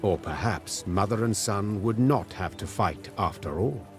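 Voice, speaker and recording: A man playing a solemn chronicler, narrating a fantasy video game in a grave, deliberate storybook manner. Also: fairly low pitch, lively intonation, over music. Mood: solemn, epic. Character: solemn male chronicler of a war saga.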